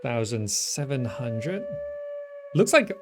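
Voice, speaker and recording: A man's voice: mid-range voice, sing-song, takes it slowly. A man giving casual gameplay commentary, thinking aloud and often trailing off as he muses to himself. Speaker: gaming video host. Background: background game music.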